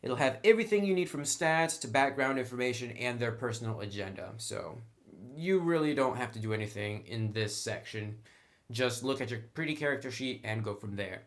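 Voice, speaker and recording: Guy doing a game review, mid pitched voice, informal and kinda wry, part scripted part off the cuff. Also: lively intonation, brisk. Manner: informal, wry sarcastic asides. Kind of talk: semi-scripted review narration with casual asides.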